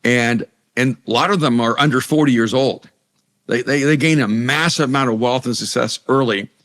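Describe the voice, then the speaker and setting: A man's voice: medium pitch, normal speed, fairly bright tone. A middle-aged man from El Salvador, a Spanish native speaker, talking earnestly as an interview guest and often restarting his sentences. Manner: earnest, many restarts and repeated words. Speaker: author and pastor guest. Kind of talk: conversational interview.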